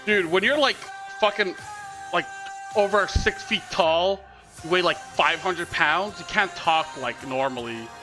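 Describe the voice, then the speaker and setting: A man's voice: sits high, unhurried, over music. A man co-streaming a match broadcast, chatting casually, swearing and joking between laughs. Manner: profane, joking, laughs often. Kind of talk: casual chat over a broadcast.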